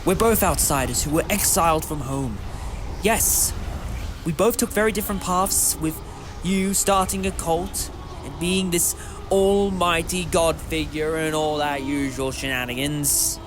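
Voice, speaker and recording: A man's voice: a little high, measured pace, music in the background, natural voice. A man playing an eccentric time-travelling hero in an audio drama, wry, modest and moralising, with a theatrical manner. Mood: candid, persuasive.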